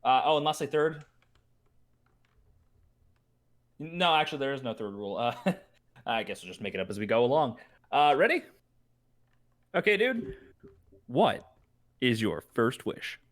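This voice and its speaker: A man's voice: mid-range voice, sing-song, moderate pace, long pauses, lightly acted. A man playing a brash, impatient wish-granting spirit with slangy salesman's patter in a comedic reading. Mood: casual, insistent. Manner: slangy, exasperated dude-speak.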